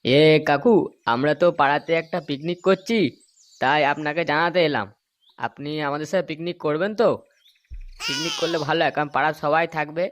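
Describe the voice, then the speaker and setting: A man's voice: fairly high pitch, a little fast, a bit bright, slight background noise, natural voice. Man voicing a crude young loafer. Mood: coaxing. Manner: crude, slangy. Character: foul-mouthed young club loafer organising a neighbourhood picnic. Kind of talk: crude comedy cartoon dub.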